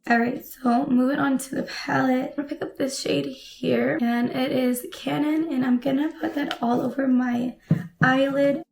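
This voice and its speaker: Young woman, raspy and hoarse from a lost voice, with a fairly high, heavily gravelly tone. Relaxed makeup-review chatter. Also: moderate pace, soft background music. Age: young adult. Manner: relaxed, chatty product commentary while applying makeup. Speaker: beauty vlogger. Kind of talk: casual makeup tutorial chat. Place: small home room, indoors.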